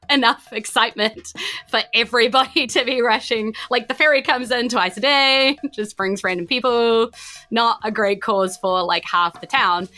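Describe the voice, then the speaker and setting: A New Zealand woman runs a tabletop fantasy game as its narrator. Her voice is bright and sits at a middle pitch. Light music plays underneath her. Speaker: game master.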